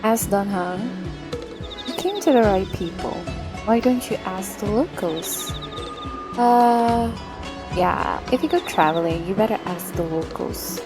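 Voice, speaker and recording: A woman's voice: mid-range voice, lively intonation, a little slow. A woman livestreaming a story game, reacting casually with playful, gushing comments over game music.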